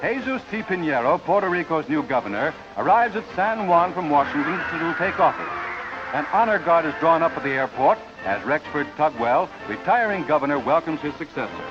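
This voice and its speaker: A man's voice: fairly high pitch, expressive, fairly quick. An American man reading scripted 1940s newsreel narration in a formal, declamatory announcer style. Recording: dull 1940s newsreel soundtrack. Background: music.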